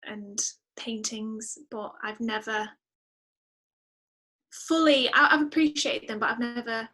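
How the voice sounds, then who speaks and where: A woman with a fairly high, very bright voice chats as a podcast guest. She thinks aloud in a reflective, enthusiastic way, keeping the conversation casual. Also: slow. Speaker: interview guest, musician.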